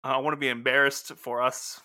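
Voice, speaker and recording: A man's voice: medium pitch, little pitch movement, fairly quick, sharp tone, dry recording. A man co-hosting a podcast, chatting casually and jokingly in an informal way. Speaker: podcast co-host. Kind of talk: casual banter.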